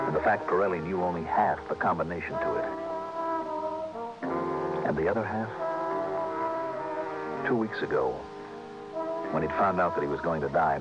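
A steady hard-boiled detective played by a man with a low voice and clipped, matter-of-fact delivery. It is old radio drama, muffled, with loud music behind. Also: lightly acted. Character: steady, hard-boiled male insurance investigator. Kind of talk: 1960s radio drama acting and first-person narration.

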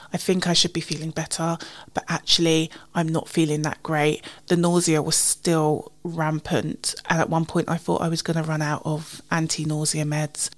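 A British woman hosting a casual, chatty solo podcast, slightly husky and sniffly from a cold, informal and self-deprecating. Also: deep voice. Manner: informal, self-deprecating, recounts conversations in quoted dialogue. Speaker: podcast host.

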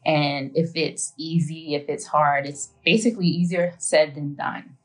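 A woman co-hosting a podcast in a relaxed, conversational way. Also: sits low, a little slow. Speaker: podcast co-host.

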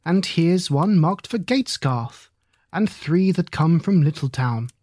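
A woman's voice: on the low side, animated, a little slow, warm tone, dry recording, studio quality. A woman voicing a stout, anxious female hedgehog washerwoman who talks in a deferential, old-fashioned polite way. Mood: fussy polite.

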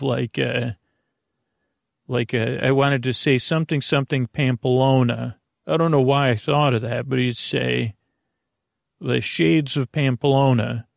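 A man with heavy vocal fry hosts a sleep podcast in a dry, close sound. He rambles to listeners at bedtime, hesitating and doubling back on himself. His sentences wander off into digressions and restart in a gravelly murmur. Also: mid-range voice, no echo. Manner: meandering, hesitant, self-interrupting, digressive.

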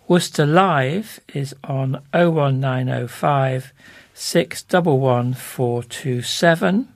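A man presenting local information, reading out listings and phone numbers in measured, deliberate short phrases. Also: medium pitch, creaky, dry recording.

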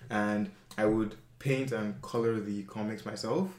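A man's voice: on the low side, fairly even intonation, measured pace, darkish tone, echoey room. A young man, chatting casually as an interview guest, full of fillers and self-corrections. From Nigeria.

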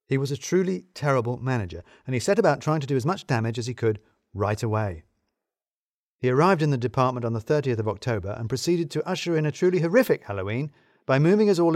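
A man's voice: medium pitch, darkish tone, no room sound. A British man giving a scripted first-person comic narration with dry, wry humour and emphatic phrasing. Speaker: narrator of an audio fiction serial.

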